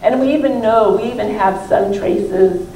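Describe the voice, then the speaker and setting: A woman's voice: mid-range voice, moderate pace, warm tone. A woman, a professor, talks informally and discursively about her book during a question-and-answer discussion, often qualifying her own points. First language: not French (non-native French speaker). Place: library event room, recorded from a distance. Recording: roomy, distant mic with faint room noise.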